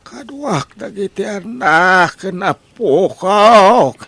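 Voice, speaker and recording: A man as a meek, husky, high-voiced Ilocano son-in-law. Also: animated, extremely slow, a bit bright, dry recording, heavily acted. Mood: meek, plaintive. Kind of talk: comedy radio drama acting.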